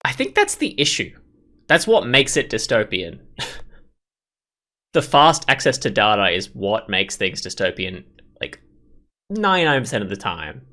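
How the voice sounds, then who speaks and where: A man hosts a visual novel playthrough in a fairly low, bright voice. He reads the text aloud and drifts into rambling, opinionated commentary. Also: huge pitch swings, a little slow, long pauses. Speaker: gameplay video host.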